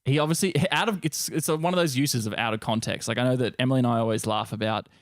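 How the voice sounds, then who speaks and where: A man's voice: mid-range voice, fast, no room sound, clean studio sound. Sweary, laddish Australian man chatting casually. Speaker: gaming podcast co-host. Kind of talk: casual banter.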